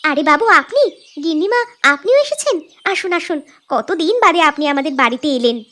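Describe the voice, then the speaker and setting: Woman acting a high-voiced, eager village wife. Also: very animated, fairly quick, fairly bright tone. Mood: eager respectful. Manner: deferential, eager. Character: eager, deferential young village wife and home cook. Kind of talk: cartoon story voice acting.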